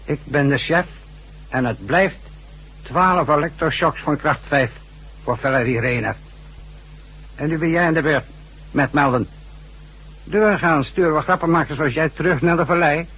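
Husky, ruthless secret-organisation chief. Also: male voice, fairly high pitch, normal speed, long pauses, a bit bright, poor audio. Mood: stern. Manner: commanding, coolly mocking. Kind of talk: Dutch radio drama acting.

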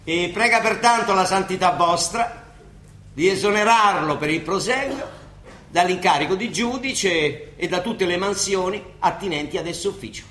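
An actor playing a weary, ironic papal judge in a radio play, with a Roman accent, declaiming and dropping into wry colloquial asides. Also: male voice, sits high, moderate pace, sharp tone, reverberant, slight background noise. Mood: solemn, declamatory. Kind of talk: theatrical radio drama dialogue.

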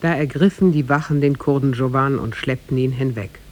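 A man narrating a tale in a scripted, measured and formal 1950s radio drama style. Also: mid-range voice. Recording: noisy 1950s radio recording.

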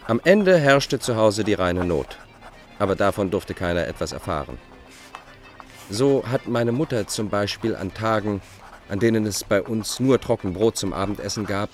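An actor playing a bitter, self-justifying Dutch fugitive in a radio drama, setting out his letters in a formal, pedantic way. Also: fairly low pitch. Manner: formal, pedantic, enumerating point by point. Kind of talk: radio drama monologue, letters read aloud.

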